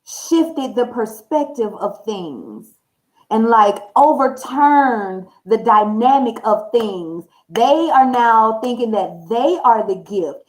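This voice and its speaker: A woman's voice: a little high, animated, slow pace, low-quality recording. An American woman hosting a channel, talking casually and candidly to viewers in emphatic, salty slang. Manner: candid, emphatic storytelling with acted-out dialogue. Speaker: host of a tarot reading channel. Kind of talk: casual personal talk to viewers.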